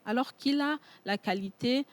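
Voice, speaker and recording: A woman from Togo whose first language is Tchokosi, a perfume entrepreneur speaking as a guest in a TV studio interview, pausing often on fillers. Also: on the high side. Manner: hesitant, many fillers and restarts.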